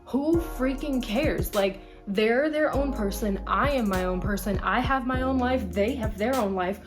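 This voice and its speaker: A woman's voice: a little high, measured pace. Late 20s girl vlogging, chatty and emphatic, music going underneath. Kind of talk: casual list vlog to camera.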